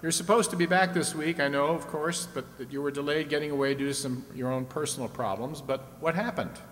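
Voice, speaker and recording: A man's voice: fairly high pitch, a little fast, fairly bright tone, close to an everyday voice. Man acting as vintage radio quiz host, echoey hall. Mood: inquiring. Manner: explanatory, prompting with questions. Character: genial, chatty male host of a vintage audience-stunt show. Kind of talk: old-time radio show acting. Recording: echoey hall with background noise.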